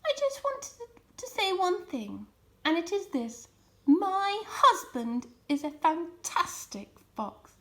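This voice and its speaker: A woman's voice: very high voice, very animated, slow, bright, forceful tone, pitched above the performer's own voice, exaggerated voice. A woman voicing a shy, devoted fox wife with a hesitant, bashful manner in a children's storybook. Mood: shy, proud. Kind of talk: children's storybook character reading.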